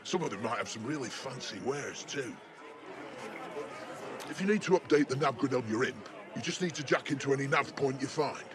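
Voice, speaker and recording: An actor playing a chatty, jaded older working-class British local in a cyberpunk video game, speaking in a slangy, matey, sardonic way. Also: male voice, mid-range voice, animated, brisk, crowd noise, lightly acted. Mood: matter-of-fact. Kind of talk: video game character dialogue.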